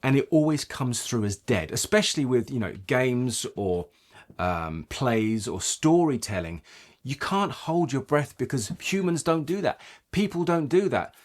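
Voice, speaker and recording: Man coaching with lively, swooping intonation. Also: medium pitch, a little slow. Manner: explanatory, emphatic, with rhetorical check-in questions. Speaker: voice acting coach. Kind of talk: instructional talk to viewers.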